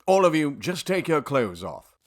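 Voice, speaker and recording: A man's voice: a little high, big pitch swings, normal speed, heavy background noise. A man playing an officious, dismissive doctor in a comedic audio drama, brusque and literal-minded. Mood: brisk, officious.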